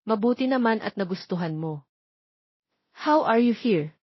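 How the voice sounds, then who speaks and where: A gentle young suitor from an audio drama, voiced by a female narrator. He is caring and calm, soft and measured in delivery, heard in a dry, slightly dull recording. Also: mid-range voice, breathy edge, no room sound.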